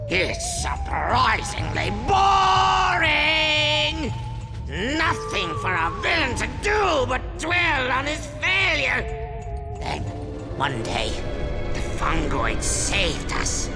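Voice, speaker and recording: An actor voicing a theatrical robot supervillain in a video game, maniacal and petulant, with grandiose, drawn-out, melodramatic delivery. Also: male voice, very high voice, gravelly, full character voice. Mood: scheming, gloating. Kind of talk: video game cutscene acting.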